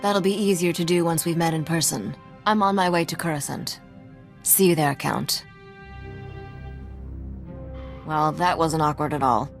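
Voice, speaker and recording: An actress playing a sardonic, self-assured young smuggler who is also an exiled royal heiress, in video game dialogue. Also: female voice, low-pitched, steady tone, normal speed, gravelly, faint music behind. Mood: dry, composed. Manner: dry, wry, self-assured.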